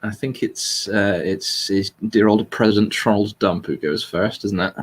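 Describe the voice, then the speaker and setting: A man's voice: fairly low pitch, steady tone, moderate pace. A man hosting a casual, humorous chat in a wry, jokey manner. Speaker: host.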